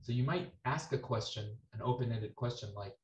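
A man, a doctor, explaining symptoms in a measured, explanatory way on a video call. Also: mid-range voice, muffled recording. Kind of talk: expert explanation. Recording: roomy with faint background noise.

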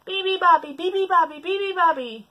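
A woman voicing grumpy, roaring zoo beasts in a children's storybook, shouting and bellowing loudly. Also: very high voice, measured pace, highs cut off, voice pushed up. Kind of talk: children's storybook read-aloud.

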